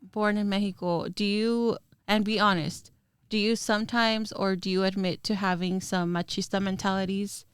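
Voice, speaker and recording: Chatty young woman podcast host, smooth voice. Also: mid-range voice, fairly even intonation, normal speed, few pauses, dry recording, clean studio sound. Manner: chatty, laughs often, asks questions. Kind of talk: casual chat.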